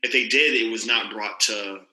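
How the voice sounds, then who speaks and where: Halting man with a bright voice. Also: lowish voice, echoey room, poor audio. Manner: halting, many restarts and fillers. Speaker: podcast co-host, teacher. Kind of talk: casual chat.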